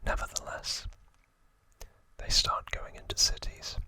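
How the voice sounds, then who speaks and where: A man speaks in a breathy ASMR whisper with a very bright, crisp edge. He explains things slowly and deliberately, leaving pauses between short hushed phrases, as a host guiding the listener along. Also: dry recording, low-quality recording. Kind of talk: whispered ASMR explainer, scripted-feeling trivia retelling with asides.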